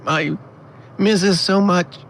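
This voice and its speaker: A man's voice: sits high, steady tone, slow pace, fairly bright tone, a little hiss or hum, voice pushed up, full character voice. A man playing an emotional, contrite adult son in an audio drama, pleading as he talks. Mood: tearful, pleading.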